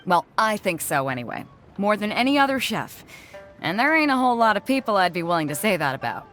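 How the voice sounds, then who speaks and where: A woman's voice: medium pitch, very animated, brisk, fairly bright tone, soft background music. A woman voicing a bold, easygoing, self-assured woman in a video game, with a folksy, confident manner.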